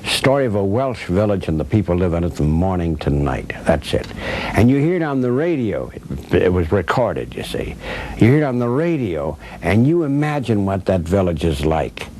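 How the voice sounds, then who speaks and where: An older man speaks in a low register with noticeable noise around him. He reminisces and reflects as if in an interview, circling back to repeat his key phrases for emphasis. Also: big pitch swings, moderate pace, a little mellow. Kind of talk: reflective, conversational interview storytelling. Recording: clear background noise and ambience.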